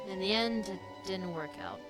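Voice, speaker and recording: A woman's voice: medium pitch, moderate pace. A woman streamer giving casual, brief reactions to a video game. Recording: game music behind the voice.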